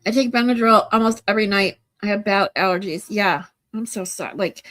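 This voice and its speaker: A woman in her forties hosts a laid-back livestream chat, her voice a bit stuffed up from congestion. She rambles along with lots of ums and false starts, her pitch sitting in an ordinary middle range. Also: a little fast, fairly bright tone. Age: 40s. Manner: rambling, many ums and restarts. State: stuffy nose. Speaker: livestream host. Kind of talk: casual chat with viewers.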